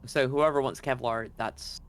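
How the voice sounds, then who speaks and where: A man running a tabletop game as game master, casually explaining scenes and rules, halting and repeating words as he thinks, with slight background noise. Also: medium pitch, fairly even intonation.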